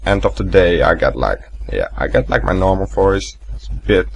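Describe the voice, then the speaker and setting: A young man giving casual gameplay commentary, rambling and swearing, with a deep, gravelly morning voice. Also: very animated, moderate pace, a little hiss or hum. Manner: rambling, swearing, laughing at himself. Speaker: gaming commentator.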